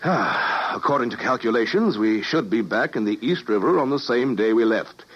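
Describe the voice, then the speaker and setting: An actor playing an excitable, driven scientist piloting a time machine, urgent and theatrical, in an old-time radio drama. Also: male voice, mid-range voice, normal speed, lightly acted. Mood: tense, urgent. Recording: dull, very noisy old radio recording.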